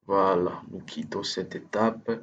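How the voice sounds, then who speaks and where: A man with French as his first language, giving a hesitant, step-by-step software tutorial over a screen recording, full of fillers and restarts. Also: low voice, measured pace, lo-fi sound. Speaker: instructor.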